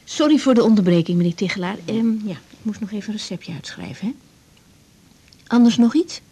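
A young female doctor in a naturalistic 1980s radio drama. Her voice is dark and a little rough at a middling pitch. She talks frankly and briskly, and cares about the person in front of her. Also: animated. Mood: businesslike, kind.

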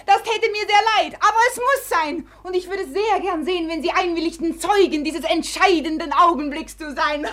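A woman's voice: very high-pitched, moderate pace, hardly any pauses, fairly bright tone. An actress in a 1950s German radio play, playing a proud, mocking society woman with an imperious, theatrical delivery. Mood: exalted, commanding.

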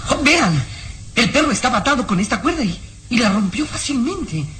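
An expressive, tender young woman. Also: a little high, moderate pace. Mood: concerned.

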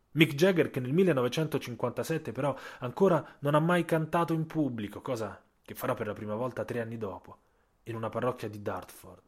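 A man tells a scripted audio story for radio in a mid-pitched voice. His delivery is literary and dramatic, shaped by deliberate pauses between phrases. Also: breathy edge. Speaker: narrator, storyteller.

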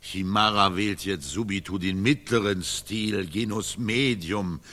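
An actor playing a condescending, pedantic knight who lectures pompously on style in a radio play. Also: male voice, lowish voice, a little slow, sharp tone. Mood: lecturing. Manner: pompous, lecturing, peppered with Latin. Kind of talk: mannered radio play dialogue.

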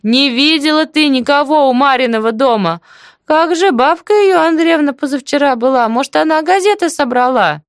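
Woman acting a feisty young woman, loudly insistent. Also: high-pitched, expressive, moderate pace, few pauses, no room sound, studio quality, voice pushed up. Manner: emphatic, shouted short phrases. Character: feisty, impatient young village woman shouting to deaf neighbor.

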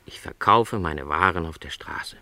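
A man's voice: deep voice, unhurried, sharp tone, no echo, full character voice. An actor playing a lonely, contented travelling salesman who is no longer young, speaking modestly and politely in a radio play. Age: middle-aged.

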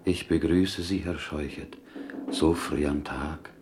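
A pious slaughterhouse helper in a radio play. The speech is slow, formal and full of respect, with old-fashioned phrasing. The voice sits extremely low with a gravelly creak. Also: male voice, background noise, heavily acted. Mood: reverent, admiring. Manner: reverent, ceremonious, inverted old-fashioned syntax. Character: devout, courteous slaughterhouse helper, dreamily solemn.

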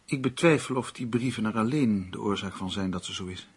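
Tense husband in a radio play, really husky, kinda hesitant and brushing things off. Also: male voice, lowish voice, a little fast. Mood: worried, hesitant. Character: tense, evasive husband taking a nervous woman away. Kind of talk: Dutch radio play acting.

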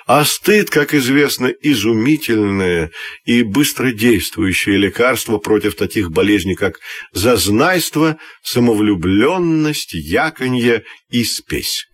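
A man narrating a scripted fairy tale for children's radio in an expressive, theatrical storyteller's manner. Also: medium pitch, slow, no echo.

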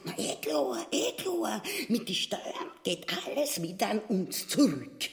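A nagging, scornful wife of a miser king. The voice creaks and rasps with an Austrian accent, in the manner of a farcical radio play. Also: medium pitch, sing-song, a little slow. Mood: nagging, exasperated. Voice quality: creaky, gravelly.

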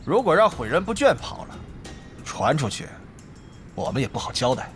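An actor playing a scheming, hot-tempered guild leader in a Chinese audio drama about an online game, argumentative and calculating. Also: male voice, fairly high pitch, very animated, normal speed, a bit bright, faint music behind. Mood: testy, defensive.

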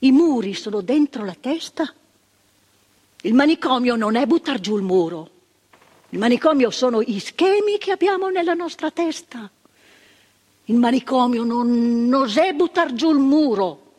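An older actress, playing a retired psychiatric worker in a stage monologue, talking emphatically with repetitions and asides to the listener. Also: female voice, high voice, animated, a little slow, a little mellow, slightly rough, full character voice. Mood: fervent. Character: retired female psychiatric worker, proud, impassioned, reflective. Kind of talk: theatre monologue. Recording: stage recording with long reverb.

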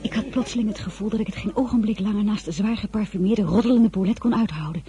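Young female diarist-narrator, intimate radio drama, very husky, soft and mellow. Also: mid-range voice, fast, no echo, dull audio. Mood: uneasy. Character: Désirée, observant young woman narrating her memories. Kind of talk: scripted first-person narration in radio drama.

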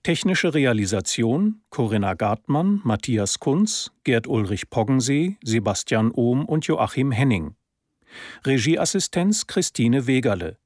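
A male announcer with a mid-pitched voice reads formal scripted credits. He takes his time, and the sound is close and dry with no room around it. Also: sing-song, unhurried, a little mellow, no echo.

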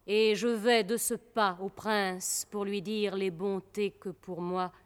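An actress playing a proud young princess in a classical French verse comedy, declaiming formally with cold, haughty irony. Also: female voice, medium pitch, fairly even intonation, takes it slowly, clear, resonant tone, close to an everyday voice. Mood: haughty, ironic. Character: proud, disdainful young princess, coldly ironic toward suitors. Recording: long reverberant hall.

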